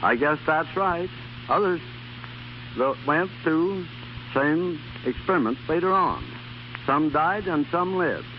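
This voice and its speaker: A man's voice: on the high side, lively intonation, slow pace. A middle-aged man, earnest and plain-spoken, giving stiffly read answers as a guest in a scripted 1930s radio interview. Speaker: interview guest, former army private. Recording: dull, noisy, humming 1930s radio recording.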